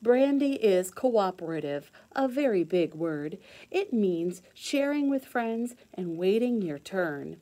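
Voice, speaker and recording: A woman teacher reading a children's storybook aloud in an expressive sing-song way with clear emphasis. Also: medium pitch, slow pace.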